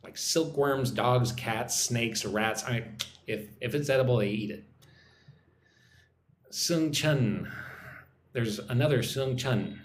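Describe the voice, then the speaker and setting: A man's voice: medium pitch, takes it slowly, pauses often, echoey room, slight background noise. An American man hosting a casual English lesson livestream, chatting with viewers and answering their comments by name. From United States. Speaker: English teacher. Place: home in Vietnam.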